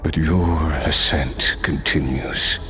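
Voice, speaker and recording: A man playing an ancient cursed warrior in a video game, grave, weary and eloquent, with a solemn, deliberate delivery. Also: extremely low pitch, steady tone, pushed and bright, faint music behind, exaggerated voice. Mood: weary, resigned. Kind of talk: dramatic video game dialogue.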